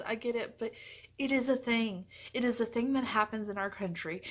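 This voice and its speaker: A woman's voice: on the high side, a bit bright. Earnest woman chatting about books. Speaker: book reviewer and vlogger. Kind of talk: casual book review chat to viewers.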